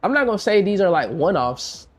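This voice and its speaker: Stuffed-up young guy, producer telling stories, slangy, bit higher voice, lots of "for real, for real" energy. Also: brisk. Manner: slangy, repeats himself, lively storytelling. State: congested. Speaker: music producer guest. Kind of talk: casual podcast interview.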